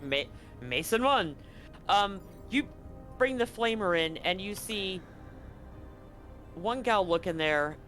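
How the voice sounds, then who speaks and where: A man improvising descriptive narration as game master of a tabletop horror game, in a halting, descriptive way. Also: high-pitched, animated, pushed and bright, soft background music.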